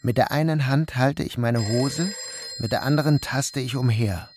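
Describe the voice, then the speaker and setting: An actor narrating as a guilt-ridden young man in a literary radio play, in a subdued, measured way. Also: medium pitch, darkish tone, a little hiss or hum, lightly acted.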